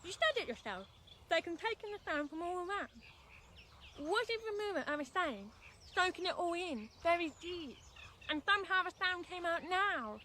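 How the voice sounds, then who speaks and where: High-voiced earnest young deaf woman. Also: big pitch swings, normal speed, bright, forceful tone, slight background noise, exaggerated voice. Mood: pleading, insistent. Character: earnest deaf young woman, dogged, pleading amateur witness. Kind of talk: naturalistic radio drama acting.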